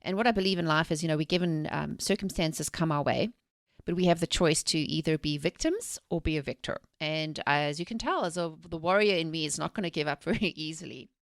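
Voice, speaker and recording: A South African woman in her forties, a mindset coach telling her story in a lively, rambling interview. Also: low voice, a little fast, dry recording, studio quality. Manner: energetic, rambling with restarts and laughs.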